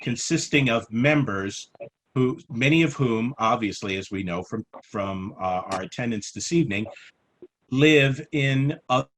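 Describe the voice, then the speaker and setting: A man's voice: mid-range voice, expressive, a little slow, fairly bright tone, low-quality recording. A middle-aged American man moderates an informal meeting with jocular, mock-formal courtesy. He speaks into a headset on a video call, with faint noise and clicks. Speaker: club meeting chair. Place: small room at home.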